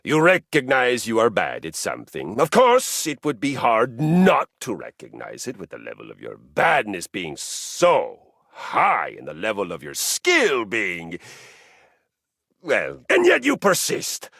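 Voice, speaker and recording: A man voicing a boastful, violence-obsessed male warrior who is a living handrail in a dating game, talking in a grandiose, theatrical way that swings from booming shouts to hushed menace. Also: huge pitch swings, measured pace, creaky, no room sound, clean studio sound, heavily acted. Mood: bombastic. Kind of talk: video game voice acting.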